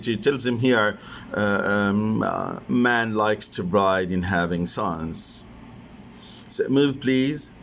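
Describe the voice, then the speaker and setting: An Egyptian-accented man, a native Arabic speaker, gives a conference lecture in a mid-pitched voice. He speaks haltingly, stopping and repeating himself as he works through his academic points. Faint noise sits behind his voice. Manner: halting, hesitant delivery with repeated phrases. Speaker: professor presenting an academic paper.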